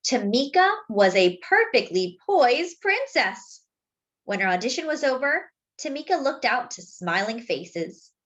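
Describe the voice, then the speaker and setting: A woman reading a children's story aloud expressively, phrasing deliberately as if to young listeners. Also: a little high, sing-song, a bit bright, highs cut off. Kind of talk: expressive picture-book read-aloud with asides to young listeners.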